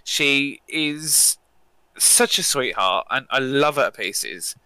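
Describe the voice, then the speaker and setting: A woman, co-hosting a podcast in casual chat, playful, quick to laugh and happy to swear. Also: a bass voice, expressive, bright, forceful tone, smooth, no room sound, poor audio. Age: 40s. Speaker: podcast co-host.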